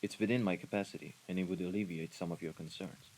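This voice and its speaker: A man playing a composed, menacing mafia right-hand man in an audio roleplay, speaking in a formal, measured way. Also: deep voice, fairly even intonation, darkish tone, rough recording. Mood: calm, deferential. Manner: formal, measured, deliberate wording. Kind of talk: scripted audio roleplay acting. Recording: rain and ambience behind the voice.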